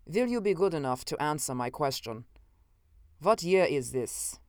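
A man playing a gaunt, intense scientist from another time, unnerved and cruel, speaking slowly and commandingly. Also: a little high, very animated, a little mellow, no echo, studio quality. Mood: insistent. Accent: odd, unfamiliar. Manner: slow, deliberate, commanding.

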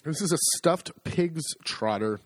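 A man's voice: fairly high pitch, expressive, unhurried. A man co-hosting a casual podcast, explaining things at length with asides. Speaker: podcast co-host. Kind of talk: casual chat. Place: home in rural Italy.

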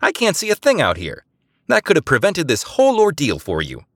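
A man narrator puts on a woman spy who bluffs over the comm with imperious authority. Her voice rides high and loud, swinging widely as she barks orders. The sound is dry and close. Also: very animated, moderate pace, no echo. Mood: commanding.

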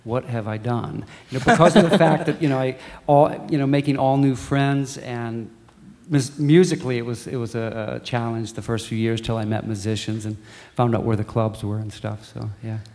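A man's voice: mid-range voice, fairly even intonation, moderate pace, few pauses, a little mellow. An American man with an accent that blends in Austrian and German touches. He rambles easily through his answers, laughing now and then. A reverberant space carries his voice. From United States (Missouri). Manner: laughs often, rambling with fillers. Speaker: musician guest. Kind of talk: casual radio interview.

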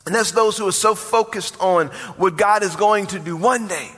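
A forty-year-old man preaching in a high, bright voice, his words building in emphasis and calling out to the congregation. His sermon rings through a church sanctuary with a long hall reverb trailing behind it. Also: normal speed. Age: 40s. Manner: preaching with rising emphasis and call-and-response. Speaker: pastor.

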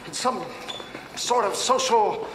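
An actor playing an eccentric older male scientist who fires off quick coaching questions, heard in a clip from a film. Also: high voice. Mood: urgent. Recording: echoey film clip with noise.

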